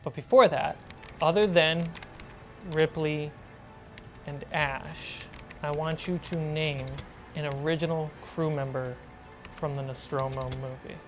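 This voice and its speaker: Low-pitched woman game master. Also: steady tone, measured pace, long pauses, over music. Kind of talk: narrating a tabletop role-playing game.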